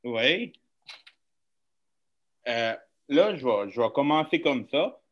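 A man's voice: medium pitch, sing-song, takes it slowly, lo-fi sound. A man hosting a casual podcast, friendly and hesitant, with many fillers. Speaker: podcast host.

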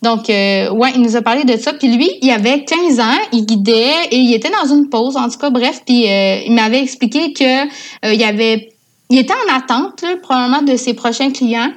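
High-voiced Quebec woman telling stories casually. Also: moderate pace. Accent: Quebec French. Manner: enthusiastic. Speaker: interview guest. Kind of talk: casual conversational storytelling.

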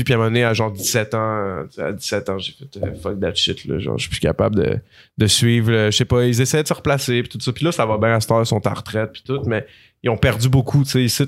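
A man's voice: fairly low pitch, creaky. Slangy man in his thirties. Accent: Quebec French. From France. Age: 30s. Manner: rambling, slangy, full of fillers. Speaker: guest rapper. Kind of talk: casual podcast chat.

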